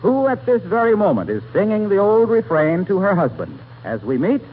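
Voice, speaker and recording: Male 1940s radio announcer, scripted and emphatic, very lively intonation, narrow-band archival recording. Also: sits high, moderate pace, husky. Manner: polished, emphatic delivery. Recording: thin vintage radio broadcast recording.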